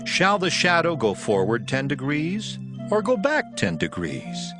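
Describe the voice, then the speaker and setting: An actor playing a stern, authoritative Hebrew prophet who declaims in a dramatized Bible. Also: male voice, a little high, huge pitch swings, measured pace, music in the background. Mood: solemn, assured. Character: stern Hebrew prophet delivering divine warnings. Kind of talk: dramatized Bible audio acting.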